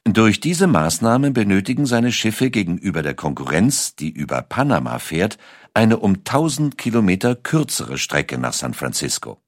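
A man's voice: on the low side, big pitch swings, moderate pace, no room sound, studio quality. A man reading a scripted documentary narration as its narrator.

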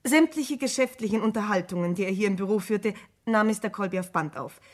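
An actress as a composed, precise woman secretary with a mid-range voice. She speaks formally and deliberately, choosing her words with care, in radio crime play style. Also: medium pitch, lightly acted. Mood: matter-of-fact. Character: composed, precise female secretary answering police.

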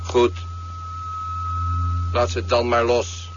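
An actor playing a wary, cold henchman who speaks tersely and deliberately in a 1970s science-fiction radio drama. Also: medium pitch, monotone. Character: wary, cold male henchman watching a screen. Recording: dull, noisy 1970s radio recording.

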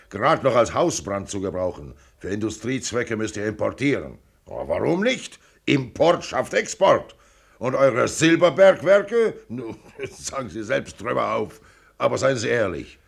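Radio play: self-assured older millionaire, gravelly and blunt, clipped commands, swinging intonation. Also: male voice, mid-range voice, full character voice. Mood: dismissive, shrewd. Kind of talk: radio drama acting.

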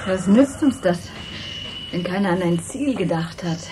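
A woman's voice: lowish voice, expressive, a little slow, very noisy. A woman acting an insistent, uneasy rebel from a space colony in a German radio play. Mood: doubtful, worried.

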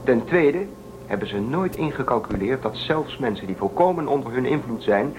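A man's voice: medium pitch, expressive, normal speed, background noise, natural voice. A man playing a defiant, suspicious spaceship crewman in a radio play, urging and persuading. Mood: insistent. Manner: urgent, persuasive, suspicious of authority.

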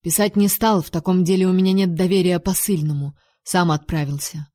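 A woman's voice: fairly low pitch, fairly even intonation, brisk, mellow, lightly acted. A woman reading the lines of a stern, protective noble duke in an audiobook, barely shifting her own voice, with urgent pleading calls. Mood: grave.

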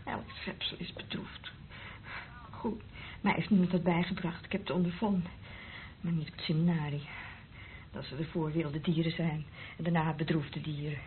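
A woman's voice: deep voice, fairly even intonation, moderate pace, pauses often, close to an everyday voice. An actress playing a vulnerable, guilt-ridden woman who secretly loves a priest, intimate and hesitant, in a Dutch radio drama. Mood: bitter, sad. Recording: dull, lo-fi old radio recording, faint noise.

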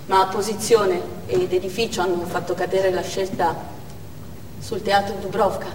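Actress as earnest young militant, echoey hall. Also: female voice, medium pitch, close to an everyday voice. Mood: grave, resolute. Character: young Chechen woman militant, earnest, naively awed. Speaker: stage actor. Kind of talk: theatrical monologue. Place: indoor theatre stage, recorded from afar. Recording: distant, echoey hall with faint noise.

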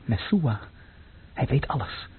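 A man's voice: mid-range voice, big pitch swings, takes it slowly, breathy edge, full character voice. An actor playing a bitter, brooding man musing intensely in a radio play. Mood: uneasy. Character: brooding, bitter man absorbed in inner thoughts. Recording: muffled, faintly noisy old radio recording.

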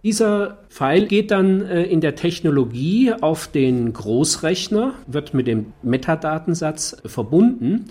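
A man giving a technical explanation in an interview as an expert, halting now and then to find his wording. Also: on the high side, lively intonation, dark tone.

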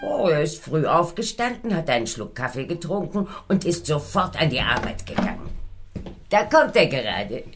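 An actress playing an excitable, superstitious and fretful Egyptian servant woman in a radio drama. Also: sits low, normal speed, noisy background. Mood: chatty. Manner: exclamatory, dramatic.

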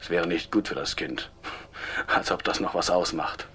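A low, husky voice belongs to a middle-aged divorced husband in a radio crime drama. He is gruff and blunt, wary of questions yet talkative. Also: moderate pace, sharp tone, exaggerated voice. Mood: grumbling, candid.

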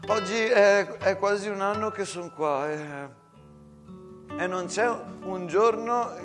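A man acts out a simple, anxious soldier in a stage war story. His voice is pitched up, halting and pleading. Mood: tender, lost. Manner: halting, stammering, repeating words. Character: Romeo, frightened, pleading, simple-hearted male soldier.

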